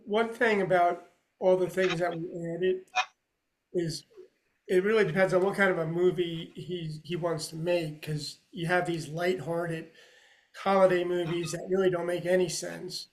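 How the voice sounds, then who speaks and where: A man's voice: high-pitched, little pitch movement, unhurried, a little hiss or hum, poor audio. A man taking part in a workshop, casually giving his feedback in a group discussion.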